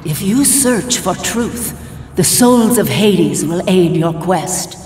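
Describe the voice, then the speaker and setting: An actress playing a mysterious guide in a video game, speaking in a solemn, measured way. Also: female voice, on the low side, lively intonation. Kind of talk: dramatic video game acting. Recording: very echoey hall, music behind.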